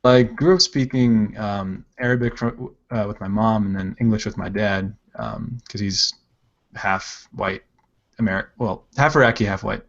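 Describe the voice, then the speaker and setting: A man hosting a casual art livestream, chatting while he draws, relaxed and rambling with plenty of filler words. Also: fairly low pitch, normal speed, dull audio. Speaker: livestream host, digital artist.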